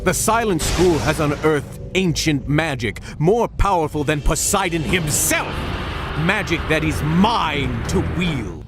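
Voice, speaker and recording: Video game villain king, high-pitched for a man, menacing, slow grandiose proclamations, music behind. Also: lively intonation. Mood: grandiose, triumphant. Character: megalomaniac villain tyrant king of an undersea realm.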